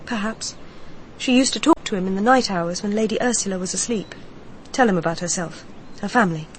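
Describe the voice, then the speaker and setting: Actress as grieving mistress, candid and measured, confessional radio crime drama, mid-pitched, faint background noise. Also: female voice, lightly acted. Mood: wistful, confiding.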